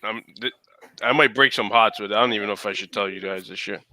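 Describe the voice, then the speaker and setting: A man's voice: on the low side, fast, sharp tone, slight background noise, poor audio. A man in his mid-thirties bantering and telling stories casually, blunt and full of swearing. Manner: profane, blunt.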